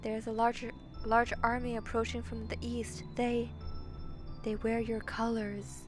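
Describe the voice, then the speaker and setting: A woman voicing a solemn, urgent female monster in a storybook read-aloud, speaking formally and quietly. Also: on the high side, flat delivery, measured pace, faint music behind, close to an everyday voice. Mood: grave, urgent.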